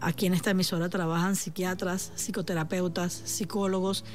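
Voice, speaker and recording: A woman's voice: fairly low pitch, brisk. Reassuring Venezuelan woman therapist. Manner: calm, reassuring, addressing the listener directly. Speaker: therapist on radio. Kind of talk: supportive radio talk.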